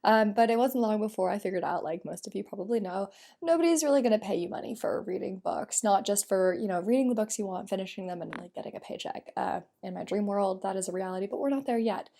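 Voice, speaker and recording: A woman with a blocked, stuffy voice from a heavy cold talks to camera in a casual vlog. She keeps it chatty and upbeat and drops in fillers as she explains. Her pitch sits in a middle range. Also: fast talker, creaky, dark tone. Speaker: YouTuber.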